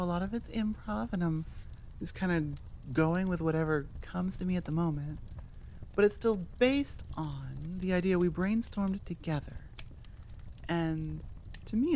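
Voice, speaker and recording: A woman's voice: lowish voice, a little mellow, a little hiss or hum. A woman chatting casually and enthusiastically to her listeners as an audio creator, rambling off on tangents.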